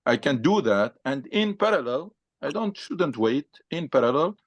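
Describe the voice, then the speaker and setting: A man's voice: a little high, big pitch swings, moderate pace, no room sound. A man who teaches religion, answering questions in a study session with patient, step-by-step explanations and frequent fillers.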